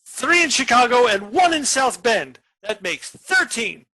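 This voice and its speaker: A man plays a murderous nephew in a radio comedy, boastful and easily offended. The voice is sharp and forceful, swinging widely in pitch with theatrical emphasis. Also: very animated, unhurried, exaggerated voice. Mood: boastful, menacing. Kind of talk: radio play acting.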